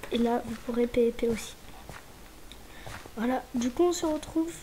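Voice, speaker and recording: A fairly high-pitched woman gives a casual walk-through of a game server, sounding a little stuffy from a cold. She talks hesitantly, restarts her sentences and keeps dropping into whispered asides. A faint hiss sits under her voice. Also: mellow, low-quality recording. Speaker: gaming channel host. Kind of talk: casual gameplay tour.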